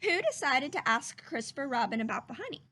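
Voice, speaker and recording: A woman reading a children's story aloud in an animated, emphatic, teacherly way. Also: sits high, brisk. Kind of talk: expressive read-aloud narration with teacherly questions to listeners.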